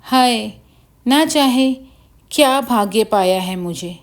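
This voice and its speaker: A woman's voice: on the high side, expressive, slow pace. A woman voicing a sick, weary village mother in a children's story, lamenting her lot plaintively. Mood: pained wailing. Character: sick, weary, self-pitying poor old village mother.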